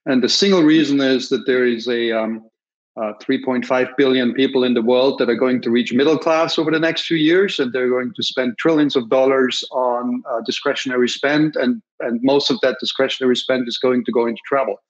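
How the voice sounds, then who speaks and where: A middle-aged man with an Austrian accent speaks in a measured, upbeat conversational way, often pausing on ums. His voice sits at an ordinary pitch. The audio is a little dull and lo-fi. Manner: measured, optimistic, with frequent ums. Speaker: podcast host and industry guest. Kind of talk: conversational interview answers.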